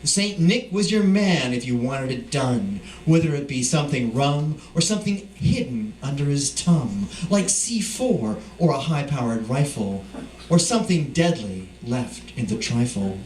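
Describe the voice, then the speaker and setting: A man giving a live poetry reading, speaking deliberately in short emphatic phrases. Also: mid-range voice, animated, darkish tone. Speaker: poet reading at a live event. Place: indoor live reading venue. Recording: distant mic, echoey room, faint noise.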